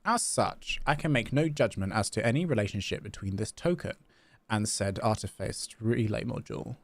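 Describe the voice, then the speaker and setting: A man voicing a polite, formal, flattering clockwork robot while reading game dialogue aloud. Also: lowish voice, moderate pace, no echo. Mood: precise, deferential. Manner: stiff, formal, deferential.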